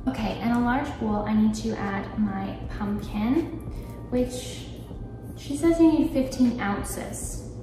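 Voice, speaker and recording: A woman's voice: on the high side, slow pace. A young woman in her twenties chatting casually and poking fun at herself while she bakes in her home kitchen, picked up by a camera set a few feet away. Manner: chatty, self-deprecating, talks while cooking. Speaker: lifestyle vlogger. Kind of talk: casual baking vlog chat. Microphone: camera on the counter a few feet away. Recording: roomy kitchen sound, mic at a distance. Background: background music.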